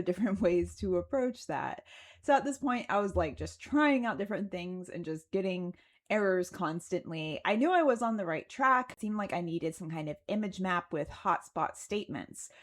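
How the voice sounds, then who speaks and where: A woman narrating a casual explanatory video as its creator, chatty and conversational, with a wry, self-deprecating way of talking. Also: medium pitch. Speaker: video creator narrating her game development progress.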